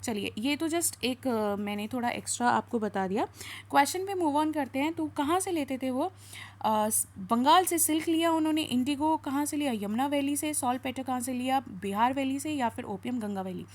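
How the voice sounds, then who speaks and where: A woman with an Indian accent teaching an exam lesson in question-and-answer style, with an instructive manner, explaining points and reading out material. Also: high voice. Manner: instructive, switching between spoken explanation and slower reading aloud.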